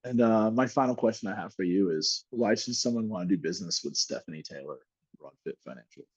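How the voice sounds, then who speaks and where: Deep husky man hosting an interview. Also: no echo. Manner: upbeat, joking, quick interjections. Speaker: podcast host.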